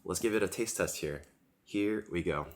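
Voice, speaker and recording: Deep-voiced stuffy male food vlogger. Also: normal speed. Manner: chatty, rambling, leisurely tasting commentary. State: stuffy, recovering from a cold. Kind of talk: casual food review while eating. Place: at home. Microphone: camera-mounted shotgun mic.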